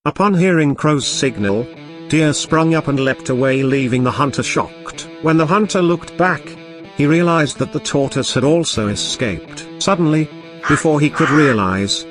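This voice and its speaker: A man's voice: a little high, expressive, normal speed, fairly bright tone, soft background music. A man narrating a scripted children's moral story as storyteller.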